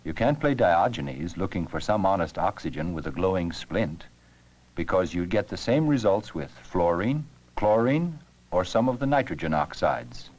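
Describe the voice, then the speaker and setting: Man, very low voice, lively varied intonation, scripted educational narration, measured explanatory delivery. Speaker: narrator of an educational science film.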